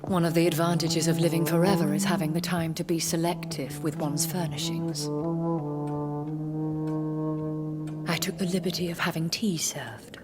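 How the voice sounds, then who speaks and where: Woman playing ancient vampire lady, low voice, British accent, refined, merciless, game dialogue over prominent music. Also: little pitch movement, natural voice. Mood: courteous, composed. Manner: formal, refined, measured. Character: aristocratic lady vampire, centuries old, poised and merciless. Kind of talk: dramatic video game dialogue. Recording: dramatic game music behind the voice.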